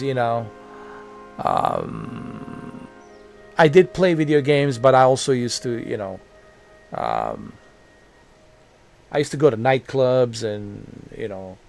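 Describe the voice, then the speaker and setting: A man in his mid-forties talks casually to his channel's viewers, circling back and repeating himself, with plenty of "um" and "you know." His voice sits at an ordinary middle pitch. Soft music plays quietly behind him. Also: creaky. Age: 40s. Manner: rambling, repeats phrases, many fillers. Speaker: gaming channel host. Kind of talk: casual talk to camera.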